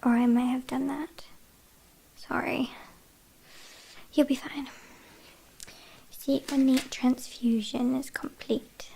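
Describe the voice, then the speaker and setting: A woman's voice: high-pitched, little pitch movement, creaky, dark tone, slight background noise, exaggerated voice. A woman with a British accent playing a teasing, haughty vampire kidnapper in an ASMR roleplay, whispering breathily. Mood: teasing, controlling. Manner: teasing, mock-apologetic, unhurried.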